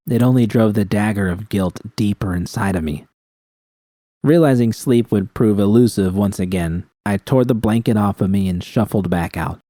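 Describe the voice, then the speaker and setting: A man's voice: on the low side, mellow, no room sound. A man reading scripted first-person fiction as an audiobook narrator.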